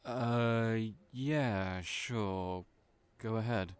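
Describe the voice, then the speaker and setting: A man acting in an audio roleplay as a kind, gentle, slightly awkward friend, hesitant and understated in manner. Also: lowish voice, extremely slow, clean tone. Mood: dry. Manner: hesitant, soft-hearted, understated. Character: kind, awkward, gentle male friend, guarded about past heartbreak.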